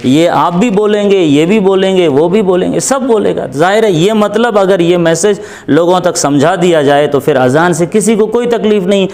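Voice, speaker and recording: Higher-pitched man explaining in Hindi. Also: a little mellow. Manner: deliberate, repeats phrases for emphasis. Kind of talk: explanatory interview answers.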